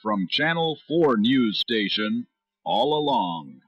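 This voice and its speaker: Lo-fi radio announcer, disaster drama, male actor. Rough tone, animated pitch. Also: slow, dry recording, heavily acted. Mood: grave.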